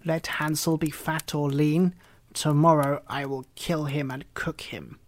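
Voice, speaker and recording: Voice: medium pitch, steady tone, unhurried, natural voice. Cunning old female witch, sly and mocking, put on by the storybook reader; mid-range voice. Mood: commanding. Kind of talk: storybook reading.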